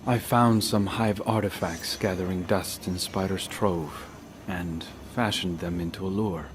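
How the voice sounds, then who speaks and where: An actor playing a brooding hunter haunted by strange dreams in a video game, speaking in a halting, introspective way. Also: male voice, sits low, steady tone, noisy background. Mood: grim, resolved. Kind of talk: cinematic video game dialogue.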